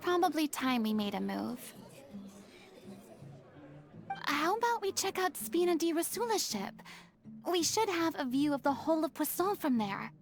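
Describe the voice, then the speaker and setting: A woman playing a theatrical, lonely young former idol in a video game, earnest and guarded, with a breathy delivery that trails toward a whisper. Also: high voice, big pitch swings, normal speed, full character voice. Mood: polite, guarded. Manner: earnest, wistful, dramatic phrasing. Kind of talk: scripted video game voice acting. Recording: clean voice over background music. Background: game music.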